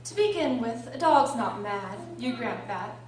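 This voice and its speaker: A woman's voice: on the high side, expressive, a little slow. A woman playing a mad, grinning cat who talks in teasing, matter-of-fact riddles in a storybook radio drama. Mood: playfully mad. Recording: echoey room, distant mic, faint noise.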